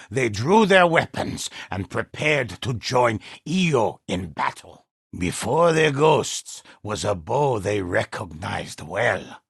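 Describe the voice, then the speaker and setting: Very husky, gravelly old storyteller. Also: male voice, on the low side, fairly bright tone, no echo, studio quality, heavily acted. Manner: solemn, ominous, theatrical. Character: old male storyteller narrating dark ghostly legends, grave and dramatic. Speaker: narrator. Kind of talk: dramatic scripted storytelling narration for a video game.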